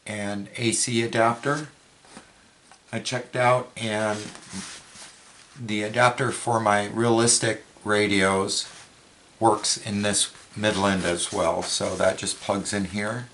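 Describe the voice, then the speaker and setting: A man's voice: fairly low pitch, fairly even intonation, takes it slowly, pauses often, fairly bright tone, slight background noise. A man giving a plain, matter-of-fact, step-by-step hands-on product demonstration. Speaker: product reviewer, presenter.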